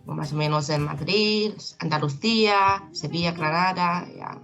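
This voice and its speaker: Young woman, native Chinese speaker, hesitant interview guest with a lower pitch, faint music underneath. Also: a little slow, fairly bright tone, muffled recording. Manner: hesitant, searching for words, many restarts. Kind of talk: casual interview in learner Spanish. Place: home in Galicia, video call.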